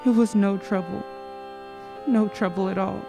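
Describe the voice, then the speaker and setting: A slow, creaky-voiced mother played by a woman, tender and heavy with grief. She speaks haltingly in an old-time radio drama with music behind. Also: mid-range voice, steady tone, close to an everyday voice. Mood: wistful grief. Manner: mournful, halting, repeating phrases. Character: grieving, tender working-class mother.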